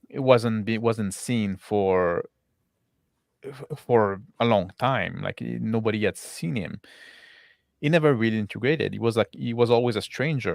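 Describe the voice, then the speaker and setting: A man improvising as game master in tabletop roleplay, halting and often repeating and rephrasing his words. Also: on the low side, vocal fry, dry recording, studio quality.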